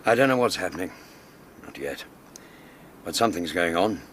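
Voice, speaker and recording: An actor playing a loyal, cautious gentleman doctor in a radio drama, speaking in a formal, courteous, measured way. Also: deep voice, expressive. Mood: grave, resolute. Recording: outdoor ambience, water and vehicle noise.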